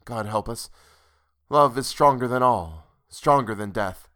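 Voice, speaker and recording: Brief: male reader as devoted young physician, quiet, solemn and tender, storybook romantic lead, medium pitch. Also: a little slow, natural voice. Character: young doctor, earnest, devoted, desperately hopeful lover. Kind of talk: literary audiobook character dialogue.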